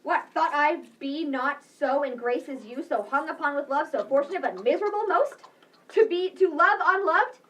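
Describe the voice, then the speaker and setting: A woman's voice: high-pitched, normal speed, fairly bright tone, far from the mic. A woman playing a wronged, bitterly indignant young woman in an amateur Shakespeare read-through, declaiming accusingly in verse. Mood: hurt, bitter.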